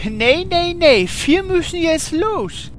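A man's voice: very high voice, expressive, slow, slight background noise, full character voice. A man doing a naive, puzzled big warrior. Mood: hurried. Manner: naive, puzzled, endless questions. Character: naive big strong male warrior Horst. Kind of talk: comedy radio play acting.

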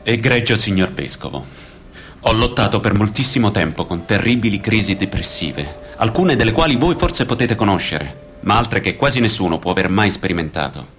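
A man's voice: lowish voice, expressive, normal speed, a bit bright, a little hiss or hum. An actor playing a grave male witness and narrator, reciting testimonies formally and solemnly in a radio theatre style. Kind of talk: scripted radio theatre reading.

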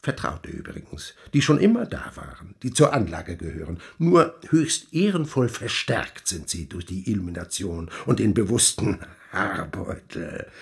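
An actor playing a mocking, verbose devil in a radio play, with theatrical, wheedling delivery and pompous old-fashioned phrasing. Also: male voice, lowish voice, gravelly. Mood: sly, needling. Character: mocking, verbose devil, a tempter selling time. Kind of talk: German radio play acting.

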